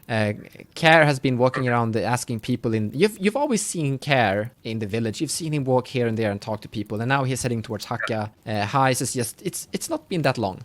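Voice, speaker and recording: A man runs a tabletop roleplaying game as its game master. He improvises the story conversationally and haltingly, at a middling pitch. The sound is dry, with no room echo.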